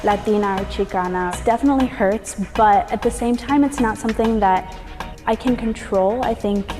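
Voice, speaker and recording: A woman speaking in a thoughtful, measured way in a documentary interview. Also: fairly high pitch, dark tone, faint music behind.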